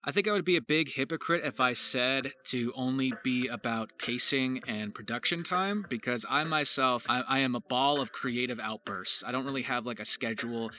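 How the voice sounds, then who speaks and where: A man with a very bright, forceful voice at a middling pitch talks through his creative work as a voice actor and writer. His delivery is casual but emphatic, with long, effusive sentences. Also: normal speed, hardly any pauses, slight background noise. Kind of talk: casual question-and-answer behind-the-scenes commentary.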